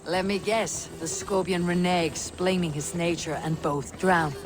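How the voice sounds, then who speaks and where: A woman's voice: on the low side, fairly even intonation, measured pace, over music, close to an everyday voice. An actress playing a blunt, wary Viking warrior woman in a video game, terse and dry in manner. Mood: dry, skeptical. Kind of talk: dramatic video game acting.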